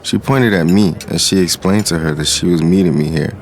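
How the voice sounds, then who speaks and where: A man acting a self-made street hustler who narrates an audio drama. His low voice is confident and reflective, smug yet smitten. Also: fairly quick, slight background noise, natural voice. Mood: smitten, self-assured. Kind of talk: scripted first-person storytelling narration.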